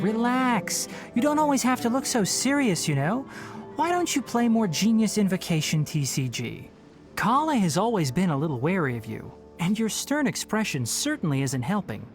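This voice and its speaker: An actor playing a gentle young forest ranger and scholar who talks in a calm, caring, lightly teasing way. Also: male voice, sits high. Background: game music.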